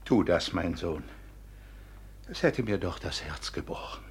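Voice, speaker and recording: An actor playing a frail, honourable old father in a classical radio play, solemn and full of pathos, with a husky, breathy voice. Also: low-pitched, lively intonation, a little slow, whispered. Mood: anguished pleading. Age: older.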